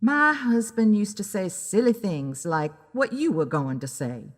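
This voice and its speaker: A woman's voice: mid-range voice, very animated, unhurried. A woman narrating a first-person story in a dramatic audiobook style. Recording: long reverb on the voice.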